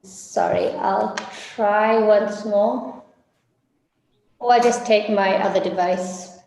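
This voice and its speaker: Flustered slow woman in an echoey room. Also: mid-range voice, fairly even intonation, mellow. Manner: flustered and apologetic. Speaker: webinar speaker. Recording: roomy echo on call audio.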